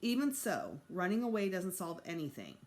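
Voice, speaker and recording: A woman's voice: fairly low pitch, sing-song, moderate pace, darkish tone. A woman voicing a patient, reassuring mother in a storybook, coaxing and placating her child.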